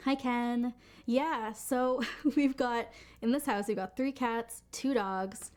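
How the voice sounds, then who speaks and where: A woman hosting a casual live-stream product demo from her home basement studio, talking in a chatty way with many fillers and restarts, her voice a bit deep from being sick. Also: steady tone, slow, darkish tone. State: sick, voice deeper than usual. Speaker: livestream product host.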